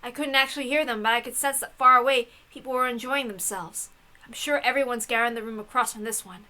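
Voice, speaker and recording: A woman giving a dramatic, theatrical narrated reading of a story in an expressive manner. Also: on the high side, quick pace. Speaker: reader of a visual novel.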